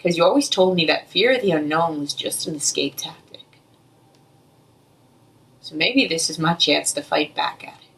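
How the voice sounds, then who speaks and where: A woman's voice: sits low, moderate pace, pauses often, a bit bright. A young woman recites her own spoken-word poetry in an earnest, emotive, rhythmic delivery. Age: young adult. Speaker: slam poet. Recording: distant, off-mic amateur recording.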